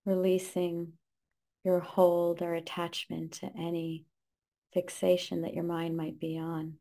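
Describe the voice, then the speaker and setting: Woman doing guided meditation, really slow n calm, lowish voice, pretty flat, short gentle phrases.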